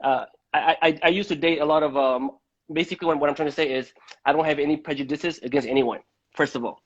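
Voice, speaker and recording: A man from Malaysia hosting a conversational live-stream interview, speaking haltingly and often repeating words. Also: a little high, steady tone. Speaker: host of a live debate. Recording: dull, lo-fi live-stream audio.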